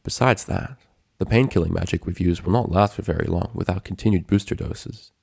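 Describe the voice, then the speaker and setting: A man's voice: low-pitched, fairly quick, gravelly, darkish tone, slightly rough, dry recording, clean studio sound, heavily acted. A man voicing a formal male pony doctor, stiff, blunt and businesslike. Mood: matter-of-fact.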